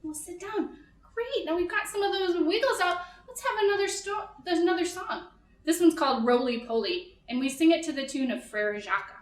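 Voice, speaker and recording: A woman leading a toddler storytime in simple short phrases, with an animated, sing-song, encouraging manner. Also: very high-pitched, fairly quick. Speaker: children's librarian leading storytime. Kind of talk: toddler storytime, singing-along instruction and read-aloud. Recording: echoey room.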